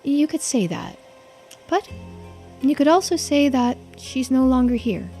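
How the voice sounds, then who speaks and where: Cryptic, matter-of-fact lore keeper for a game, female performer; composed, deliberate, faint music. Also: high-pitched, sing-song, dark tone, exaggerated voice. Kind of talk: video game character voicing.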